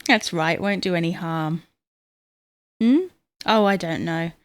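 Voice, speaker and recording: A woman's voice: fairly low pitch, takes it slowly, smooth, no room sound. A woman playing a fussing, no-nonsense middle-aged wife in a domestic audio comedy, chiding and motherly in manner. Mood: chatty.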